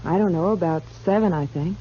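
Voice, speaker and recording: Lady playing a coy young saloon girl, american, lowish voice, muffled old radio recording. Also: a little fast, a little hiss or hum, lightly acted. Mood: guarded, evasive. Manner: coquettish, guarded. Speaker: actor in a radio western. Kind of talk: 1950s radio drama acting.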